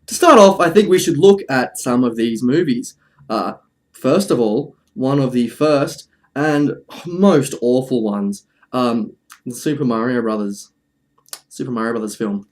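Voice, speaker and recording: A man's voice: mid-range voice, measured pace. A man hosting a casual video essay, with a dry, jokey manner and sentences he often breaks off and restarts. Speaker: video host.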